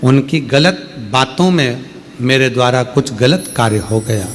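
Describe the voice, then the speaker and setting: A man's voice: mid-range voice, lively intonation, unhurried, a little hiss or hum. Man preaching with rising emphasis. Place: open-air religious gathering.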